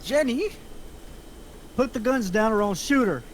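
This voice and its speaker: Man playing high-voiced threatening gunman. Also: lively intonation, unhurried, a little hiss or hum. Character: demanding male gunman with a hostage. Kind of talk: script table read.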